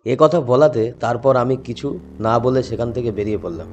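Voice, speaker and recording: Mellow man telling horror stories. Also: medium pitch, normal speed, dull audio. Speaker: first-person narrator. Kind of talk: scripted horror story narration. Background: background music.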